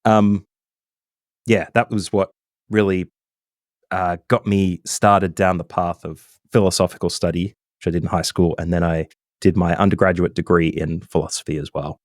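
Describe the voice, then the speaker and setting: A man from Australia with a mild Australian accent, chatting casually as a podcast guest, relaxed, with lots of ums and self-corrections. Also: low-pitched, moderate pace, no echo, studio quality. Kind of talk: casual interview chat.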